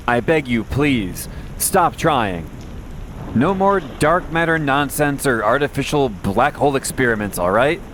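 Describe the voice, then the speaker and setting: A man's voice: medium pitch, a little hiss or hum. A man playing a wry, weary post-apocalyptic radio host who rambles conversationally through a late-night audio drama monologue. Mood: exasperated. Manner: conversational, wry, rambling asides and self-corrections.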